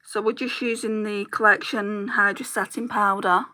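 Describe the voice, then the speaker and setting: A woman's voice: medium pitch, fairly even intonation, normal speed, pushed and bright. A British woman chatting casually while she does her makeup live, greeting viewers by name in a rambling way, her throat getting a little sore. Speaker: beauty vlogger, livestream host.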